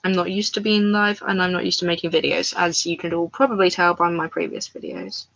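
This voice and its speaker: A woman's voice: on the low side, steady tone, a little fast, creaky, a bit bright, lo-fi sound. Chatty woman live-streaming crafts. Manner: rambling, chatty, addresses viewers in chat. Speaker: crafts livestreamer. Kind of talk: casual live-stream chat. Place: at home, indoors.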